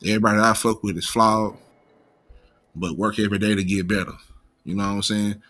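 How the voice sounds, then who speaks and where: Bright-voiced American man with slight stutter. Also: on the low side, fairly even intonation, brisk. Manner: imperfect pronunciation of some words; informal, slangy, profane. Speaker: online commentary host. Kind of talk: unscripted casual commentary.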